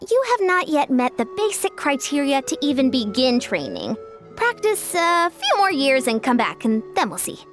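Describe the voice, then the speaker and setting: A woman voicing a young, earnest and diligent sports coach in a video game, brisk and matter-of-fact. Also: high voice, wildly swooping pitch, soft background music. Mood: stern, mock-official. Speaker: coach and commentator. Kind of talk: video game character acting.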